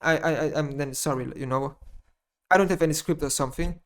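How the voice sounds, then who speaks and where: A man speaking English with a German accent, his voice sitting a little high for a man. He talks off the cuff in a personal monologue, rambling, stumbling over words and repeating himself as he goes. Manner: rambling, halting, repeats phrases, frequent restarts. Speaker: gaming YouTuber.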